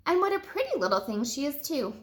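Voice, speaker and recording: A woman's voice: high-pitched, sing-song, fast. A woman voicing a sneaky, dim male thief in a children's storybook, easily spooked. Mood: amused.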